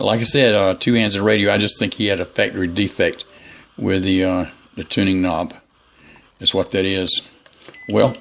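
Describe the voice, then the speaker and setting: Low, gravelly man chatting casually. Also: pushed and bright. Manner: rambling, folksy, opinionated. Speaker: radio hobbyist reviewer. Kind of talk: casual unscripted product review. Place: room in a house.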